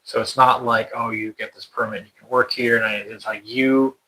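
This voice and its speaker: A man's voice: medium pitch, fairly even intonation, moderate pace, pushed and bright, low-quality recording. A young American man talking casually about moving abroad, rambling with lots of fillers and restarts. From United States. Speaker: interviewee. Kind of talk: casual conversation.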